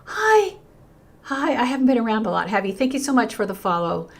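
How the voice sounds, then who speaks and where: A woman's voice: on the high side, fairly quick, creaky. An older woman pastor hosting a livestream, talking earnestly and reading out viewer comments while she is losing her voice. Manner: earnest and emphatic, with frequent restarts and a lively rise when greeting viewers. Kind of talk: unscripted livestream talk, reading chat comments.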